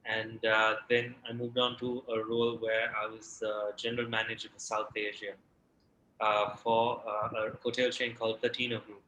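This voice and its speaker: A man from Sri Lanka in his late twenties or thirties, a restaurant founder answering interview questions in a relaxed way with many fillers. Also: fairly low pitch, moderate pace, background noise. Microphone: video call. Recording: echoey room with faint ambience.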